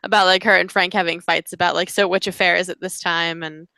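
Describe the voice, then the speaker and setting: A young woman co-hosting a casual TV recap chat, emphatic and quick to repeat herself for effect. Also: lowish voice, flat delivery, creaky, pushed and bright, smooth, no room sound. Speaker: co-host.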